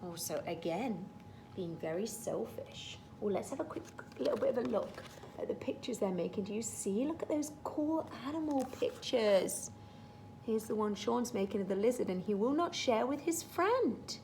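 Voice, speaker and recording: A woman reads aloud to young children as their teacher, pausing for simple explanations. Her voice is fairly high and swings widely in pitch, at an unhurried pace, over faint background rumble. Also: animated, a little mellow, rough recording. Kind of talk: storybook read-aloud with explanatory asides.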